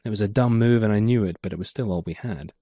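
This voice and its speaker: A man's voice: fairly low pitch, fast, mellow, dry recording. A man reading his own noir short story aloud as scripted narration. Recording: dull muffled lo-fi audio.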